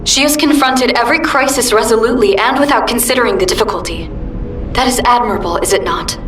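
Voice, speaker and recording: A woman playing a soft, calm female ally. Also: on the high side, quick pace, roomy sound, distant mic, a little hiss or hum, close to an everyday voice. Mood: gentle. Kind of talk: video game cutscene acting.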